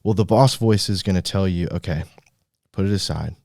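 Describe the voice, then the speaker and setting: A man with a very deep voice hosts a motivational podcast. He speaks casually but with a coach's emphasis and doesn't shy from swearing. The sound is dry, with almost no room in it. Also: normal speed, gravelly, darkish tone, dry recording. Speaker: podcast host and business coach.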